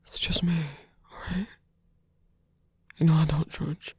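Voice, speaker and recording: A man playing a gentle, caring lover in an ASMR roleplay, speaking softly, breathily and hesitantly. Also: fairly high pitch, level tone, unhurried. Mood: gentle concern. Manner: soft, hesitant, breathy delivery. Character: gentle, caring male lover soothing a partner.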